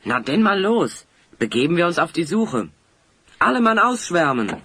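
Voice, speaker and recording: An actor playing a decisive, inquisitive leader of heroes in a fantasy audio play, theatrical and expressive. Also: male voice, on the high side, moderate pace, slight background noise, exaggerated voice. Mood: eager, commanding.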